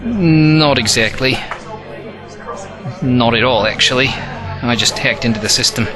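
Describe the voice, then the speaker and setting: A man's voice: medium pitch, a little slow, a crowd in the background, natural voice. A man acting an eager, alien-obsessed computer hacker in an audio drama, explaining keenly. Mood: sheepish. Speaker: actor. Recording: loud music bed behind the voice.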